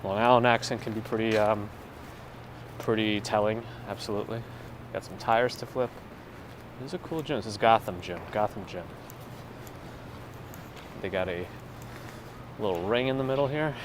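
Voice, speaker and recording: A man's voice: fairly low pitch, normal speed, pauses often. New man streaming on a street. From New York. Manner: relaxed, chats with viewers while walking. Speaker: walking tour live streamer. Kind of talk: casual live-stream narration. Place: outdoors on a city street in winter. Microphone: gimbal-mounted phone mic. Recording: phone mic with clear street noise. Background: street and traffic noise.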